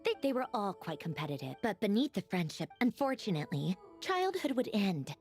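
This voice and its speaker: A woman's voice: medium pitch, sing-song, fairly quick, darkish tone, soft background music. An actress playing a haughty, witty woman inventor in a video game, guarded yet wistful, speaking in a wry, articulate way. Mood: reflective, somber. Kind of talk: scripted video game story dialogue.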